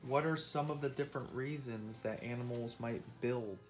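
Man, middle-aged, medium pitch, teacherly read-aloud with explanations and questions, music underneath. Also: moderate pace. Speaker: parent reading aloud and teaching. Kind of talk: interactive read-aloud of a nonfiction book. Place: indoors at home on a couch.

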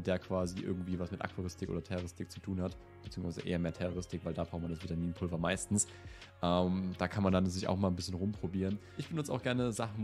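A man in his twenties with a slight cold, hosting a relaxed question-and-answer vlog in a chatty, easygoing way. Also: low voice. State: slightly ill. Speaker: host. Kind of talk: casual Q&A vlog. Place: indoor room with plant wall and lit shelves.

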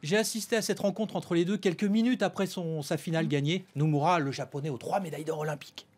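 A man working as a sports correspondent, discussing in an animated way at a radio studio microphone. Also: on the high side, fast talker. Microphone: studio desk microphone.

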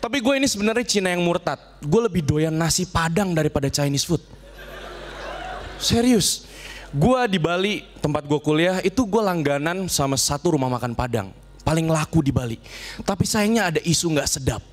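A man's voice: a little high, moderate pace, slight background noise. A young man performing a stand-up comedy set on a TV studio stage with a handheld mic, acting out voices as he tells his jokes. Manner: animated, acts out voices and dialogue.

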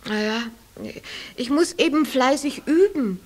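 A woman playing an earnest, eager little witch in a children's audio play, with a childlike, drawn-out delivery. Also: sits high, lively intonation, a little mellow.

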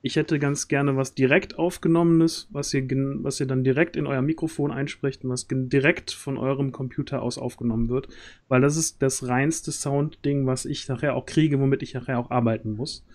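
Halting man, mellow livestream host. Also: medium pitch, steady tone. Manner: halting, searching for words. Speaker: stream host and audio drama organiser. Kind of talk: casual livestream chat. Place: small room at home, on a group video call.

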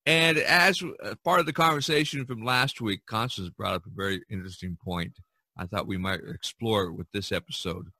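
Bright-voiced, low-pitched man hosting. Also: moderate pace, no echo. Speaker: podcast host. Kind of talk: casual podcast conversation.

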